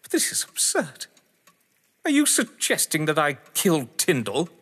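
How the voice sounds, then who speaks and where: A man's voice: fairly high pitch, wildly swooping pitch, measured pace, fairly bright tone, husky, full character voice. A man acting in an audio drama as a witty, haughty husband under questioning, verbose, sardonic and theatrical. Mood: indignant. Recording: echoey hall-like reverb.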